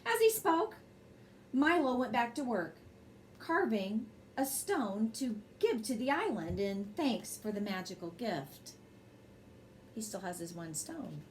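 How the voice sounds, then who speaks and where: A woman reads a bedtime story in a fairly high, very animated voice. She is expressive and speaks directly to young listeners. There is some faint noise behind her. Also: slow pace. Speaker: storybook reader and host. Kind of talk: bedtime story read aloud with brief asides.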